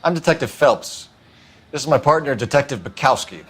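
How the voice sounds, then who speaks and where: An actor playing a brisk, stern American police detective in a crime drama game, with clipped, formal questioning. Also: male voice, medium pitch, animated, a little hiss or hum, lightly acted. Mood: businesslike. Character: brisk, stern young male police detective, all business.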